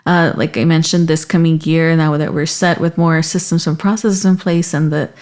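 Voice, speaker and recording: A woman from Mexico, a native Spanish speaker, talking as a guest in an interview with a halting manner and frequent restarts. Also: low voice, steady tone. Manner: warm, halting, many restarts. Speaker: call center lead, guest. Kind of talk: interview conversation.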